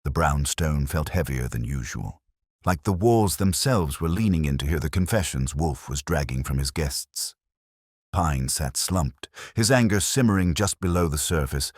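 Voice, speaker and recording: A man narrating a first-person detective story in a measured, dramatic storytelling manner. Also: very deep voice, expressive, no echo, clean studio sound. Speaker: audiobook narrator.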